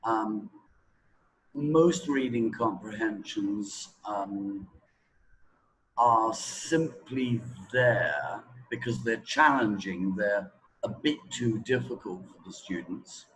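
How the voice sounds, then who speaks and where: A man of sixty, a native English speaker, explaining his views in an interview in a halting, deliberate way, as if thinking aloud. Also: fairly low pitch, fairly bright tone, slight background noise, rough recording. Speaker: teacher trainer in reading, interview guest. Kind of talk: interview talk, explaining opinions.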